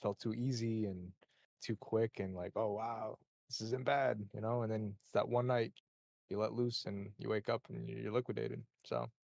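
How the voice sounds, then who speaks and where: A man in a casual trading voice chat, sharing personal experience in a halting, rambling way. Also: fairly low pitch, steady tone, creaky, a little mellow, dry recording. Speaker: trader taking part in a group voice chat.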